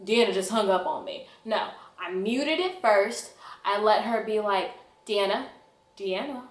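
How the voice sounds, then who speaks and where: A woman's voice: mid-range voice, measured pace. A young woman telling a personal story in a dramatic, exaggerated, slangy way, acting out the dialogue. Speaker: vlogger. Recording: echoey room.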